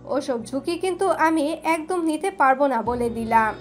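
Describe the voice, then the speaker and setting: An actress playing a firm, protective elder sister in an audio story, scolding and decisive. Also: female voice, high voice, lively intonation, normal speed, faint music behind.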